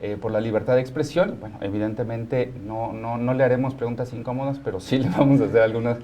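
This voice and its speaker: A man's voice: mid-range voice, steady tone, fast talker, dark tone, slight background noise. A man with a Mexico City accent hosting a studio talk show, commenting on news informally with a teasing, joking streak.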